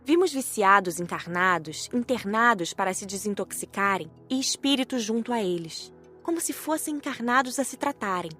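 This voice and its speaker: A woman's voice: mid-range voice, lively intonation, moderate pace, pushed and bright, faint music behind. A woman reading scripted first-person audiobook narration in a composed storytelling manner.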